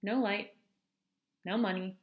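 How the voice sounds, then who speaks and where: A woman's voice: medium pitch, steady tone, slow, highs cut off, lightly acted. A woman voicing an eager, money-loving eleven-year-old girl who narrates a storybook earnestly and with dramatic emphasis. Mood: dreamy, excited. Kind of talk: storybook first-person narration.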